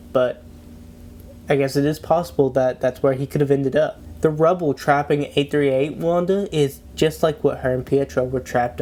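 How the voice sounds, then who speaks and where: A man with a stuffy, congested cold giving a low-energy scripted breakdown narration as a video host. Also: mellow, a little hiss or hum.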